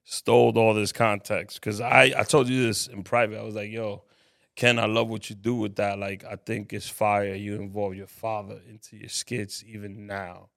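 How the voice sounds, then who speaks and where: A man's voice: fairly low pitch, little pitch movement, moderate pace, vocal fry, a bit bright. A man hosting a casual podcast interview, joking and laughing often with his guest. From Harlem, New York. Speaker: podcast host.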